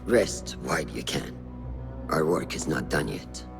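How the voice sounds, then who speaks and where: A commanding mentor figure from a game. The voice is extremely deep and gravelly, grave in tone yet with lively movement in pitch. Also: male voice, a little slow, music in the background, heavily acted. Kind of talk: video game cutscene acting.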